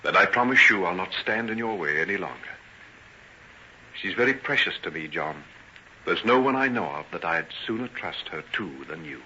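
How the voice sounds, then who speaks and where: An actor playing a tormented, self-sacrificing gentleman doctor in old-time radio drama, speaking in earnest, formal, theatrical phrases. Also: low voice, animated, normal speed, full character voice. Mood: solemn, sincere. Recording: dull, noisy old radio recording.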